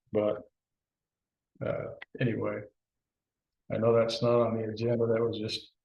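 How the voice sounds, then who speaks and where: A man leading a town board meeting, talking haltingly with many restarts. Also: fairly low pitch, gravelly, a little mellow. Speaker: presiding board trustee. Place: town hall meeting room. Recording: dull lo-fi meeting recording.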